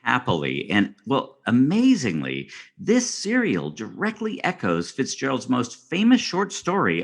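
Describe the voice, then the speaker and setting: A man's voice: fairly low pitch, creaky, fairly bright tone, exaggerated voice. An actor playing a florid, theatrical literary scholar on a scripted podcast, savouring every word as he reads aloud. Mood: scholarly relish. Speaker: guest expert on a podcast.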